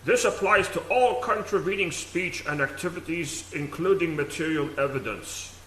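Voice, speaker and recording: A man playing a severe, punitive law minister of a dystopia in a radio play, with formal, forceful declamation. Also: a little high, moderate pace, lightly acted. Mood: severe, vowing. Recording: echoey, faint noise.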